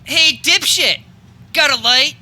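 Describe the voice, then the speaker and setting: A woman's voice: sits high, slow pace, bright, forceful tone, exaggerated voice. A woman playing a crude, sarcastic, hot-tempered teenage boy in a live outdoor comedy radio play. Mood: sneering, cool. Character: foul-mouthed, hot-tempered, sneering rebellious teenage boy. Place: backyard, before a live audience. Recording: live outdoor recording, faint background noise.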